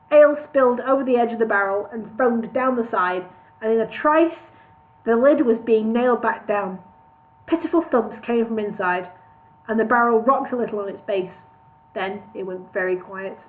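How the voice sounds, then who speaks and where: High-voiced woman reading aloud. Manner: reads with asides, laughs at comments. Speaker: audiobook reader. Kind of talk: reading a comic fantasy novel aloud on a livestream. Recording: tinny phone-like sound.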